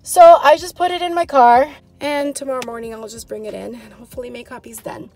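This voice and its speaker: A woman in her forties talks into a phone at arm's length after school. Her tone is chatty and relaxed, with the easy rhythm of a teacher walking viewers through her room. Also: sits high, fast. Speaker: fourth grade teacher. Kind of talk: casual vlog talk to camera. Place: empty classroom and parked car. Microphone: phone held at arm's length.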